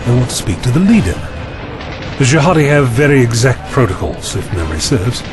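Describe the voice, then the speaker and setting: A man's voice: mid-range voice, very animated, fairly quick, over music, natural voice. A man playing a courteous, wry time-travelling eccentric with lost memory, speaking formally in an audio drama. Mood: thoughtful, puzzled. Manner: formal, wordy, inquisitive.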